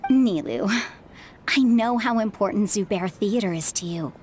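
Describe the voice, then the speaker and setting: An actress playing a seasoned retired adventurer, a calm widowed mother who speaks in a reflective, encouraging way in a video game. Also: mid-range voice, a little hiss or hum, natural voice. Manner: reassuring, reflective, measured encouragement.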